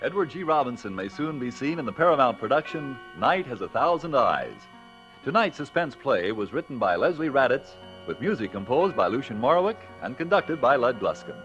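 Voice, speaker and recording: A man's voice: medium pitch, big pitch swings, fairly quick, a bit bright, faint music behind. A man working as a radio announcer, reading credits in a formal 1940s style. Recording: muffled old radio recording.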